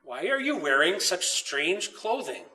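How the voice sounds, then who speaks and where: Man reading as a wide-eyed, curious boy, animated. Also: sits high, a little slow, distant mic, exaggerated voice. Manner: wondering, exclamatory. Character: curious young boy, easily startled, full of questions.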